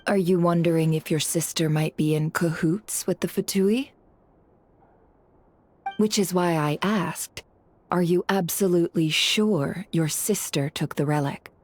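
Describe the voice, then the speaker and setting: A woman's voice: fairly low pitch, unhurried, darkish tone, slight background noise, natural voice. A woman playing a shrewd, coolly self-assured information broker in a video game, teasing and knowing, fond of pointed rhetorical questions. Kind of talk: video game character acting.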